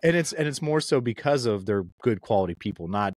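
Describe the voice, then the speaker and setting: Low-voiced easygoing male podcast host. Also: lively intonation, a little fast, darkish tone, no echo, clean studio sound. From Pennsylvania. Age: young adult. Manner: rambling, friendly, says "man" and "dude" a lot. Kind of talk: casual podcast conversation.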